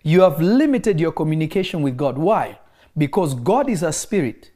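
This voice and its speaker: A man's voice: fairly high pitch, sing-song, normal speed, warm tone. Emphatic man preaching in conversation. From Kenya. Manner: emphatic, repeats key phrases.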